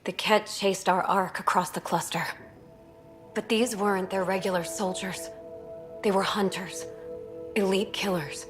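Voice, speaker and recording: A woman acting a weary alien refugee officer in a game cutscene. Her mid-pitched voice is that of a worn survivor, with music playing behind it. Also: steady tone, a little slow, long pauses, close to an everyday voice. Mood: haunted. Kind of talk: video game cutscene dialogue.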